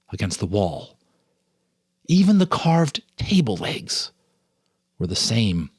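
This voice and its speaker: A man giving a dramatic reading of a ghost story, with suspenseful, deliberate phrasing and dramatic pauses. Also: medium pitch, dry recording, clean studio sound. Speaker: storyteller, narrator and host.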